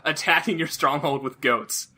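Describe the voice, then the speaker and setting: A man chatting casually about video games as a podcast co-host, haltingly, often repeating and restarting his phrases. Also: a little high, pushed and bright.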